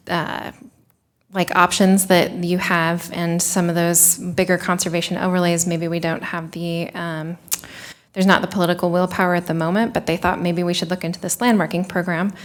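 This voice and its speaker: A woman on city staff briefing a commission at a public meeting, measured and explanatory, with frequent ums. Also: lowish voice. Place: city council chambers.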